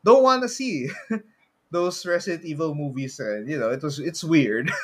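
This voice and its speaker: Higher-pitched Filipino man chatting casually. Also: expressive, measured pace, a bit bright, breathy edge. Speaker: podcast co-host. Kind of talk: casual chat about movies.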